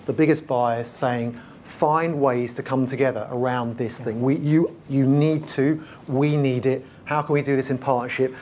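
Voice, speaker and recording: A man moderating a panel on a conference stage. He talks at a middling pitch and animates his points by acting out quoted voices, with a faint hum of the venue behind him. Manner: lively, quick asides, acts out quotes.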